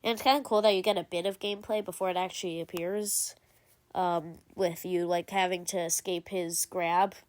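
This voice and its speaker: A woman's voice: lowish voice, fairly even intonation, normal speed, darkish tone, no room sound. A woman giving casual voice-over commentary as a video creator, rambling with lots of "like" and frequent self-corrections. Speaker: video creator ranking game cutscenes.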